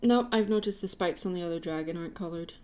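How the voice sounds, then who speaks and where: A woman's voice: lowish voice, quick pace, mellow. A woman chatting casually and playfully while livestreaming her artwork. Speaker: digital artist livestreaming.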